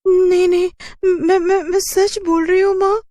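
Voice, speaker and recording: A woman's voice: extremely high pitch, level tone, measured pace, dark tone, dry recording, clean studio sound, voice pushed up. A woman voicing a distressed, lovesick young daughter in a horror cartoon, stammering and pleading. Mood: panicked, insistent. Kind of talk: Hindi horror cartoon dubbing.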